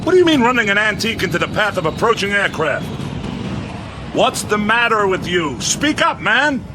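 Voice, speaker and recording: Brusque, commanding American major. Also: male voice, high-pitched, sing-song, normal speed, music in the background. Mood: angry, barking. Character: gruff, impatient American air force major. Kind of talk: radio drama acting.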